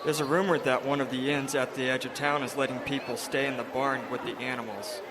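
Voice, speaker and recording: An actor playing Joseph, a tired young husband on the road, in a live radio drama, speaking plainly over crowd noise. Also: male voice, mid-range voice, steady tone, a little fast, lightly acted. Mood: weary. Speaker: traveler interviewed. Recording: crowd and street noise behind.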